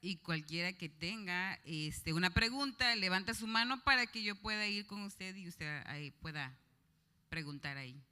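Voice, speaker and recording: A woman's voice: lowish voice, animated, moderate pace, pushed and bright, clean tone. A woman informally moderating a question session for an audience, chatty and encouraging. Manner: chatty, encouraging, uses diminutives. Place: large hall at a conference. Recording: reverberant hall sound.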